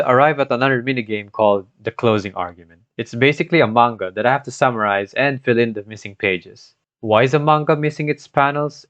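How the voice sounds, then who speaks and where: Low-pitched young man narrating, dull mic. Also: fairly bright tone. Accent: Filipino. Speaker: video narrator recounting a game playthrough. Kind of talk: scripted narration with casual asides. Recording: dull scuffed mic, music behind.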